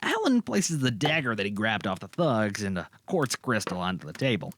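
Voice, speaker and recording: A man's voice: lowish voice, big pitch swings, moderate pace, a bit bright. A man narrating a scripted amateur audio drama, describing the action in the present tense.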